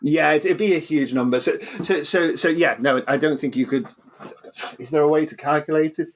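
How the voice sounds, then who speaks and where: A man's voice: on the high side, a little fast, slight background noise. A man explaining science informally as an interview guest, often repeating words and restarting, checking in with "right?".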